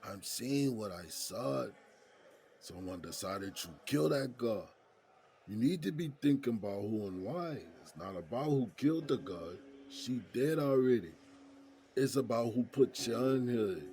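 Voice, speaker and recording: Man playing a gravelly, streetwise American jailhouse mentor. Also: medium pitch, expressive, a little slow, darkish tone, slight background noise. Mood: emphatic, urging. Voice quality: husky, gravelly. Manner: folksy street slang, probing rhetorical questions. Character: streetwise, seasoned male prison inmate mentoring a younger friend. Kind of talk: audio drama dialogue.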